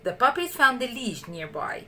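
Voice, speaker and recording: A woman reading a children's story aloud in an expressive, theatrical and deliberate way. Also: fairly high pitch. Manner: animated, theatrical, deliberate delivery.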